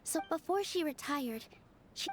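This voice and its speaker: A woman playing a gentle, earnest young dancer in a video game, speaking sincerely. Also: sits high, expressive, unhurried. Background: music.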